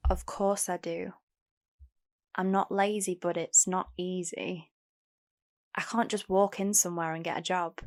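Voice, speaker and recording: A woman's voice: on the low side, little pitch movement, a little slow, long pauses. A young woman playing a guarded but hopeful homeless singer in an audio drama, candid and plain-spoken. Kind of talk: first-person audio drama narration and dialogue.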